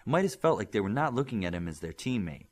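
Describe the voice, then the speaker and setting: Man narrating an audiobook, lively pitch. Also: fast. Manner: steady, expressive storytelling. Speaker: audiobook reader.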